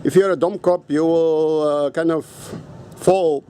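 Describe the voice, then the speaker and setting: A man's voice: a little high, fairly even intonation, a little slow, dark tone, slight background noise. A native Afrikaans-speaking man vlogging outdoors in a casual, rambling and blunt way, swearing now and then. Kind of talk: casual rambling vlog talk.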